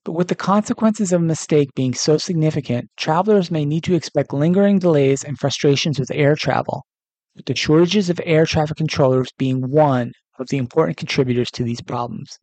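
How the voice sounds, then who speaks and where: A man's voice: on the high side, fairly quick, a little mellow, dry recording. An American man hosting a podcast, reading a scripted news report. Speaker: podcast host.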